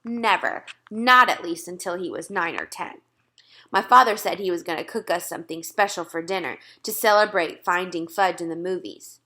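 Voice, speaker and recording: A woman's voice: lowish voice, moderate pace, sharp tone. A woman storyteller reading a children's book aloud as scripted narration.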